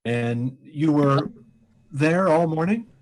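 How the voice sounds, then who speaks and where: A man playing a calm, methodical police inspector in an old-time radio mystery, deliberate and probing. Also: a little high, poor audio. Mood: businesslike.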